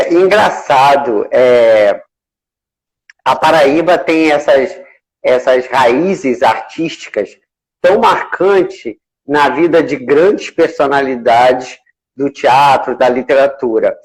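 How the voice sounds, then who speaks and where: A man's voice: mid-range voice, slow pace, low-quality recording. A man hosting a casual live chat, enthusiastic and full of hesitant restarts. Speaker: host.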